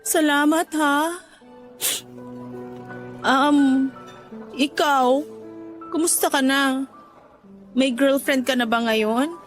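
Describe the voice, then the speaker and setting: An actress playing a remorseful yet playful young daughter in a Filipino radio drama, with a Filipino accent. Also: female voice, high voice, steady tone, extremely slow, long pauses, soft background music. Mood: teasing. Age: young adult.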